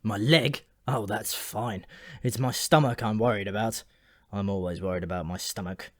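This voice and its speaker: A man's voice: fairly low pitch, moderate pace, dry recording, natural voice. Ancient dwarf for a fantasy audiobook , acted by a man: dyspeptic, gloomy, rambling, grudgingly friendly, recorded dry. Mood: weary, complaining.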